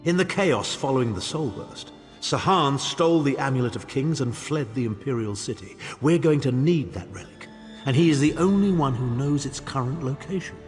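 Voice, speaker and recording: An actor playing a haughty, scheming imperial chancellor with a pompous, disdainful manner in a fantasy game. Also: male voice, medium pitch, lively intonation, moderate pace, lightly acted. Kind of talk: theatrical fantasy game dialogue. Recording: long reverb, music behind.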